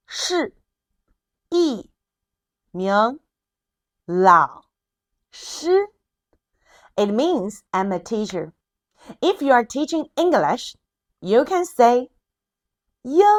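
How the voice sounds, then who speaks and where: A woman language teacher giving an instructional lesson, drilling phrases with deliberate, clearly separated syllables. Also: medium pitch.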